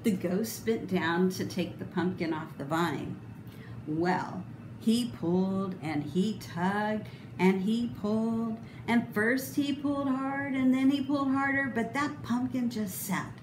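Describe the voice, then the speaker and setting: A middle-aged woman reading a children's picture book aloud expressively, with deliberate, dramatic emphasis. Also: on the high side. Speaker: storybook reader. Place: indoor room, voice a little echoey. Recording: roomy echo, clear background noise.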